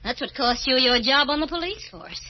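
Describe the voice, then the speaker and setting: A woman acts out a frantic, bitter young wife in a 1940s radio drama. The voice is high and complaining, melodramatic in delivery, heard through a dull old recording. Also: moderate pace, natural voice. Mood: bitter, overwrought. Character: frightened, nagging young New York wife, bitter and frantic.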